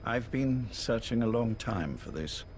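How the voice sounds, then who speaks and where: A man's voice: medium pitch, animated, measured pace, close to an everyday voice. A man playing an older army major in a video game, reflective and restrained. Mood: wistful, sombre. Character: wistful, reserved older British military major. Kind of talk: dramatic video game voice acting. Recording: faint noise, recorded tape audio.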